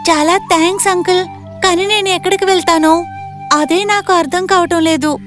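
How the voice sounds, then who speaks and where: A woman plays a homeless young girl in a cartoon moral tale. The voice is very high, thin and childlike, with a polite, grateful, earnest manner. Music plays clearly behind it. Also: fairly even intonation, normal speed. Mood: apologetic, earnest. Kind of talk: animated moral story dialogue.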